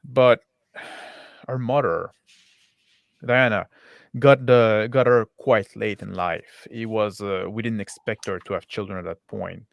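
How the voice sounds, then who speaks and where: A man improvising as game master in tabletop roleplay, halting and often repeating and rephrasing his words. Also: lowish voice, fairly even intonation, gravelly, no echo, studio quality.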